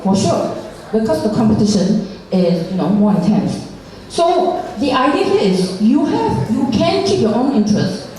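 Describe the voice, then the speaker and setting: A woman's voice: on the high side, warm tone. A woman teacher gives a rambling classroom lecture over slides, full of fillers and tag questions. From Singapore. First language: not Mandarin. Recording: very echoey room, far from the mic.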